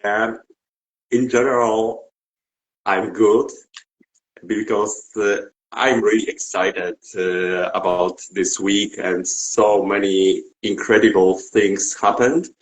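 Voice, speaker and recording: A man hosting a live stream who is losing his voice, talking in a halting way with repeated words and restarts. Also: lowish voice, steady tone. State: losing his voice, sore throat. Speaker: live stream host, meme creator. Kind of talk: casual live stream chat. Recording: echoey room.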